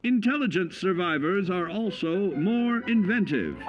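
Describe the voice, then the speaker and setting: An actor playing a cheerful, folksy narrator of 1950s-style government survival films, selling each point with measured, upbeat emphasis. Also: male voice, sits high, big pitch swings. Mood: upbeat, instructive. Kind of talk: 1950s instructional film narration. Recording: vintage band-limited film sound, music behind. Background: music.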